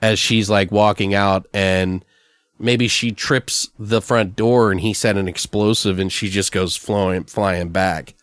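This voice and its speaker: A man hosting a podcast, chatting casually and brainstorming in a rambling, animated storytelling way with plenty of swearing. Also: fairly low pitch, slow, dry recording, clean studio sound. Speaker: podcast host. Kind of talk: casual improvised brainstorming chat.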